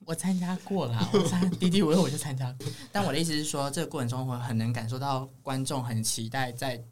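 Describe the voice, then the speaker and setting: A man's voice: medium pitch, steady tone, quick pace, darkish tone, slight background noise. A man with a Taiwanese Mandarin accent hosting a casual entertainment chat in a playful, teasing manner. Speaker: podcast host.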